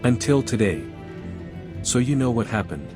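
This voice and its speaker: A man playing a calm, plainspoken adventurer and party leader in a video game. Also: mid-range voice, moderate pace, over music, close to an everyday voice. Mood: curious. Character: calm, level-headed male adventurer leading a party.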